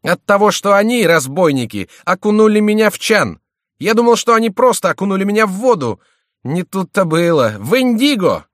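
A male narrator plays a battered young courtier in a storybook tale. The voice is high and swooping, full of indignant exclamations and loud complaint, as if every wrong were a scandal. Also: expressive, brisk, hardly any pauses, no room sound, clean studio sound, close to an everyday voice. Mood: furious. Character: outraged, battered young nobleman, a king's favourite, loudly complaining. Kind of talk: audiobook character voice.

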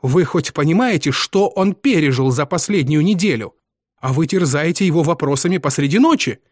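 A man voicing an indignant, fiercely protective mother with a sharp, scolding manner in an audiobook. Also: on the high side, animated, moderate pace, no room sound, clean studio sound, voice pushed up. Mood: outraged. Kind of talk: dramatised audiobook dialogue.

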